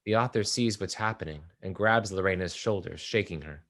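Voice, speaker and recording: A man's voice: sits low, a little fast, a bit bright. A man narrating the stage directions of a play from a script.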